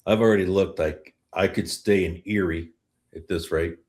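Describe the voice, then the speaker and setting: A middle-aged man with a deep voice hosts a hockey podcast. He speaks casually and conversationally, often thinking aloud in measured asides. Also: fairly even intonation, darkish tone. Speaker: sports podcast host. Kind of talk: casual hockey talk and question answering.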